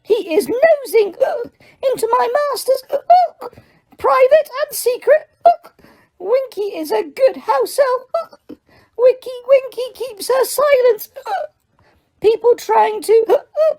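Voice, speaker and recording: A woman voicing a drunk, weepy female house elf who squeaks and slurs through tears. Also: lively intonation, takes it slowly, mellow, exaggerated voice. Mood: tipsy tearful. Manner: squeaky, slurred, hiccuping and sobbing.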